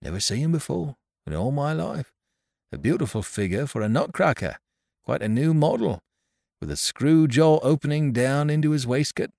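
A man plays a meek, dreamy old toymaker from a storybook, speaking softly in a raised, hushed voice. His tone has a gravelly texture. The recording is dry. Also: fairly high pitch, lively intonation, moderate pace, no echo, pitched above the performer's own voice. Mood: hushed wonder. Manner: soft and hushed.

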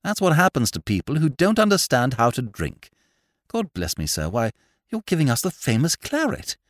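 A man voicing a lively, emphatic young English lord in an audiobook, with an upper-class English accent and clipped, exclamatory phrases. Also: medium pitch, normal speed, no room sound, clean studio sound, pitched above the performer's own voice, close to an everyday voice. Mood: indignant, emphatic. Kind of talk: audiobook character voice.

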